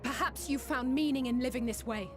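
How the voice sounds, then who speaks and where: A woman's voice: high voice, brisk, over music, close to an everyday voice. A woman voicing a firm, scolding game heroine. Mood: reproachful. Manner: firm and reproachful.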